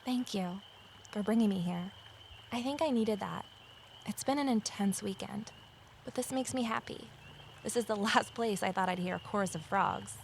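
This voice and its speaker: An actress playing an earnest woman amateur investigator in an audio drama, talking in a gentle, sincere, conversational way. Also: medium pitch, normal speed, gravelly, slight background noise, natural voice. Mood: touched. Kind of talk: audio drama dialogue and first-person narration.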